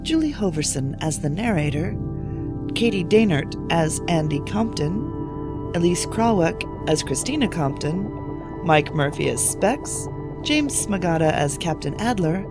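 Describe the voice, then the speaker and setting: A woman's voice: on the low side, very animated, unhurried. A woman announcer reading scripted closing credits for an audio production. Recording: loud new-age music behind voice.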